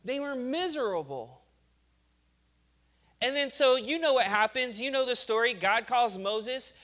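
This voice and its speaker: A man's voice: very high-pitched, expressive, a little slow, pushed and bright. A male pastor giving a conversational sermon, acting out the people he talks about. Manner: conversational preaching, acts out complainers.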